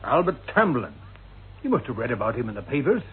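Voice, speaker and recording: Man acting lively, officious police sergeant. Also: medium pitch, narrow, tinny audio, slight background noise, close to an everyday voice. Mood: brisk, official. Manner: officious, courteous, deliberate questioning. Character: methodical, probing male police sergeant. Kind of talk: radio drama acting. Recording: thin, band-limited old radio recording.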